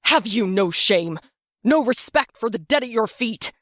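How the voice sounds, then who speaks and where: A woman's voice: on the high side, expressive, measured pace, fairly bright tone, no room sound, studio quality, voice pushed up. A woman voicing a stern armored battle-sister with cold, commanding authority in a sci-fi story. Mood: sharp rebuke.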